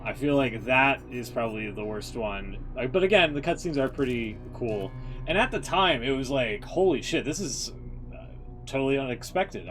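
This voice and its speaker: A male game streamer talks casually with music playing behind him. He rambles through his opinions in slangy, sweary language at a middling pitch. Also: fairly bright tone. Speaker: video game livestreamer. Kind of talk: casual, rambling ranked commentary.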